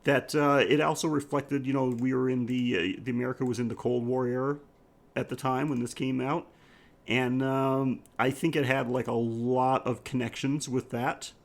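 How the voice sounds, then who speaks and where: A man with a mid-pitched voice co-hosts a casual film podcast. He speaks haltingly, thinking aloud and circling back to rephrase his points. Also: flat delivery. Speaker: podcast co-host.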